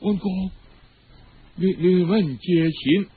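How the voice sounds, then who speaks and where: A man's voice: sits high, measured pace, breathy edge. A man voicing a devoted, self-doubting young courtesan with a Cantonese accent, pleading tenderly in a told story. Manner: tender, imploring, emotional. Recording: dull lo-fi radio-style recording.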